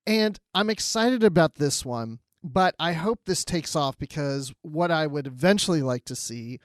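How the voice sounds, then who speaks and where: A man co-hosting a podcast in casual chat, telling things in an animated way and voicing his own reactions. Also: a little high, normal speed, dry recording. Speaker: podcast co-host.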